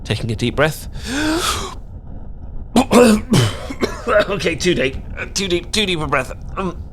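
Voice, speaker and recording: A man's voice: high-pitched, big pitch swings, slow, fairly bright tone, slight background noise, full character voice. A British man playing a nervous, bookish man in an audio romance roleplay, stammering and rambling in a flustered way.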